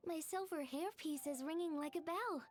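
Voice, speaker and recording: A woman voicing a cheerful young game character, bright and playful, heard as game audio. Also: very high voice, normal speed, soft background music.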